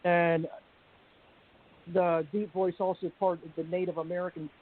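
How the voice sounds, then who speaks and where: A woman with a deep voice giving a rambling personal account on a group call, halting with frequent restarts and fillers. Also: little pitch movement. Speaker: panel guest. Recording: tinny phone-like line with faint hiss.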